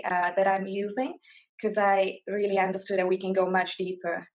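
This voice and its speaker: A woman's voice: mid-range voice, highs cut off. A woman from Slovakia with a Slovak accent, a coach answering interview questions thoughtfully with plenty of fillers and restarts. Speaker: guest, mindfulness and career coach.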